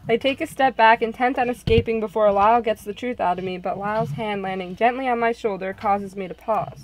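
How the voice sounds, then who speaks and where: A woman's voice: on the high side, fairly quick, low-quality recording. A woman reading scripted first-person visual novel narration.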